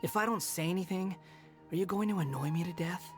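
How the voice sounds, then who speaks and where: A man's voice: high voice, quick pace, natural voice. Man voicing a snide, aloof young wanderer. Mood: irritated, disdainful. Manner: snide, dismissive. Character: aloof, sardonic young male wanderer, prickly and taunting. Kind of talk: video game voice acting. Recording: music behind the voice.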